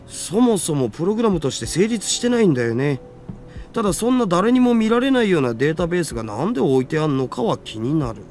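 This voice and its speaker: A man's voice: fairly high pitch, very animated, racing, darkish tone, soft background music. A man voicing a geeky, easily exasperated young male hacker in a Japanese visual novel, with theatrical slangy speech. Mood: casual, puzzled. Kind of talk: anime-style game voice acting.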